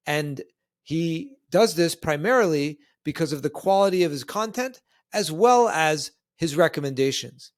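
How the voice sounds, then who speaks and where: Higher-voiced man hosting a podcast. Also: no room sound, studio quality. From Canada. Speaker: podcast host and interviewer. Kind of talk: conversational podcast interviewing.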